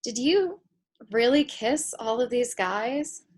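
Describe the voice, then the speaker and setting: A woman's voice: a little high, lively intonation, slow, husky, heavily acted. A woman playing an idealistic, stubborn young girl in a comedy play reading, emphatic and indignant. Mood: skeptical. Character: idealistic, stubborn young girl meeting her future selves. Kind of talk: comedy play read aloud.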